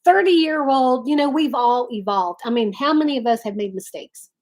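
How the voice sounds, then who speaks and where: A woman's voice: on the high side, moderate pace, a little mellow, a little hiss or hum. A middle-aged woman hosting a casual live chat, folksy and effusive as she addresses viewers directly.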